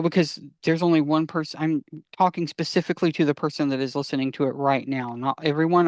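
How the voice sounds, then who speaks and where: A man's voice: a little high, no room sound. A man co-presenting a podcast in casual explanatory chat, wry and rambling, repeating words for emphasis.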